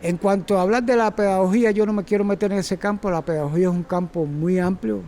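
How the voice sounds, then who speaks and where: A man's voice: high voice, fairly even intonation, fast talker, dark tone. A Cuban man in his sixties giving an informal, rambling lecture to circus students, often correcting himself. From Cuba. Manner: rambling, self-correcting, chatty. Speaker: circus instructor.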